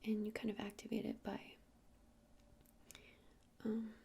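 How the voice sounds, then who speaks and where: A woman whispering softly and breathily in an ASMR roleplay as a friendly witch shopkeeper, explaining things in a gentle, hesitant, rambling way. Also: mid-range voice, completely flat delivery, a little slow. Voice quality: breathy unvoiced whisper with creaky edges. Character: friendly witch shopkeeper selling crystals and incense. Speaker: ASMR roleplayer playing a crystal shop witch.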